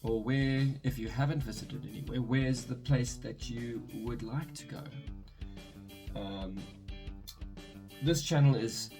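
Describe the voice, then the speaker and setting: A man's voice: medium pitch, slow, pauses often, over music. A young man from South Africa with a South African accent, chatting casually to camera in his room, rambling and correcting himself as he goes. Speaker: vlogger. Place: his bedroom.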